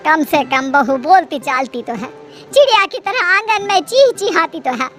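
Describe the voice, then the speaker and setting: A woman's voice: extremely high pitch, expressive, normal speed, a bit bright, faint music behind. A woman voicing a sarcastic, long-suffering middle-aged mother-in-law with a dramatic, complaining manner.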